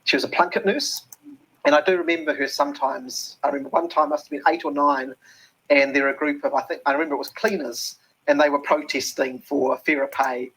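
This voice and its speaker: A man's voice: a little high, fairly even intonation, a little fast, fairly bright tone, breathy edge, low-quality recording. A man with a New Zealand accent, an interview guest talking earnestly with many ums and restarts. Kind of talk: reflective interview talk.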